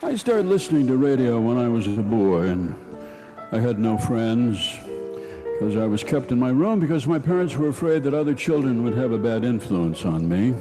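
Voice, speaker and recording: A man playing a wistful, deadpan storyteller looking back on boyhood in comic old-time radio style, with folksy pauses. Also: mid-range voice, over music, close to an everyday voice, poor audio. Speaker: storyteller host of a radio show.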